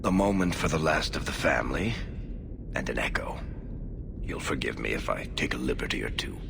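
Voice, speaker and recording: Very deep, sly storyteller. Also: male voice, animated, brisk, heavily acted. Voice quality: gravelly, very deep. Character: sly, wry male storyteller under questioning. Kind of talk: fantasy game cutscene acting. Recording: noisy, rumbling background.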